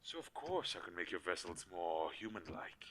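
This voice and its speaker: A man playing a weary, protective magical inventor in an audio drama, speaking earnestly with halting pauses. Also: very animated, pushed and bright, full character voice. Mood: frustrated, defensive. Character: weary, protective male inventor-mage, creator of living dolls. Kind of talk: scripted audio roleplay drama. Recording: low quality, audible background hiss.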